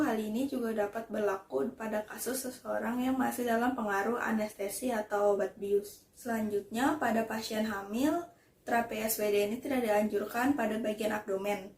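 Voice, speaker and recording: A woman's voice: mid-range voice, a little mellow. A young adult woman, a student presenter, explaining point by point in an academic presentation. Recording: roomy, echoing voice recording.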